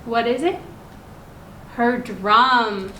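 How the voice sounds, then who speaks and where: A woman hosting a children's story time, reading aloud in simple, instructive language and pausing to put questions to her listeners. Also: fairly high pitch, bright, forceful tone. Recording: roomy echo, clear background noise.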